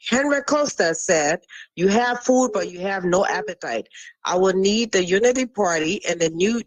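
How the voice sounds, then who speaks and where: A woman's voice: lowish voice, no echo, poor audio. A woman from Liberia calling in to a radio talk show, insistent and repetitive, talking over the host. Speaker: caller. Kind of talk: call-in radio debate.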